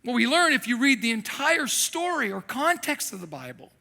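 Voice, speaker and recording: A man preaching a sermon as a pastor, telling animated, self-deprecating stories from his childhood in Thailand. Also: extremely high pitch, normal speed, vocal fry. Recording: long hall reverb.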